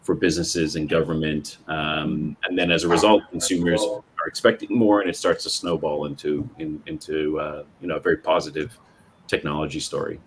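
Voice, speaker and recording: A man from Bermuda speaking on a tech panel in a measured way, with fillers and restarts. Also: very deep voice, level tone, a little hiss or hum. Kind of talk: panel discussion.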